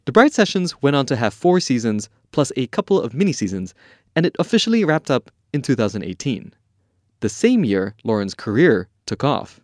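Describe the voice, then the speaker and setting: Animated male podcast narrator, dry sound. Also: mid-range voice, normal speed, dry recording. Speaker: podcast host and interviewer. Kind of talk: scripted narration.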